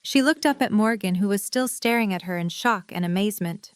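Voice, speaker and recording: Casting: female narrator for scripted story prose, medium pitch, very dry studio-style sound. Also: a little fast, clear, resonant tone, no echo.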